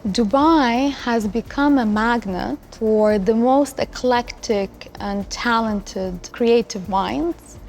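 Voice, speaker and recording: A woman speaks in a fairly high voice with a noticeable fry. Her delivery is measured and earnest, like a director interviewed for a documentary, with music underneath. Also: a little mellow. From Lithuania. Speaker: art hub director. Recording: music behind the voice. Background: background music.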